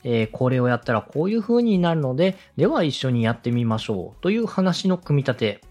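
A male host speaks with animated, widely moving pitch and a slightly rough, husky tone. He coaches politely and methodically, dropping in sample phrases as demonstrations. Faint music plays behind his voice. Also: fairly quick. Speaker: radio director and podcast host giving advice. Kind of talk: step-by-step coaching on presenting.

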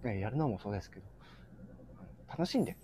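A man hosting a live audio show, speaking casually and earnestly to listeners, often halting as he searches for words. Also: fairly low pitch, animated, dark tone. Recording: background noise, live audio stream.

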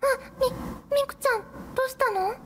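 A woman voicing a mild, easily flustered high school girl in a radio drama, with a girlish, hesitant, earnest delivery. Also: moderate pace. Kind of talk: Japanese radio drama acting with narration to listeners. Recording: noisy background ambience.

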